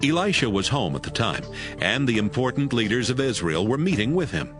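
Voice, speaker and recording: A man's voice: medium pitch, big pitch swings, fairly quick. A man narrating a dramatized Bible audiobook from a script. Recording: music behind the voice.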